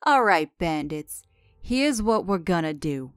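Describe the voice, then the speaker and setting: Woman playing a cool, low-voiced teen gang boss. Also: very animated, measured pace, clean tone, dry recording, clean studio sound, soft background music. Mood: scheming. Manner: commanding, composed. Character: cool, decisive teenage leader of a 1950s gang. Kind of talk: comic dub voice acting.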